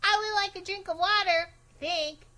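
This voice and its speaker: A woman voicing a chatty, imaginative, bossy little girl in an animated children's storybook read-aloud, with childish, emphatic phrasing. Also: very high-pitched, moderate pace, voice pushed up. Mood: chatty, scheming.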